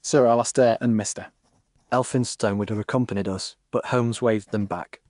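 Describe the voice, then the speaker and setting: A man with a fairly low voice narrates an audiobook mystery. His delivery is measured and formal, literary in style, with a very dry studio-like sound. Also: steady tone, darkish tone, no room sound. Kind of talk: scripted literary narration in first person.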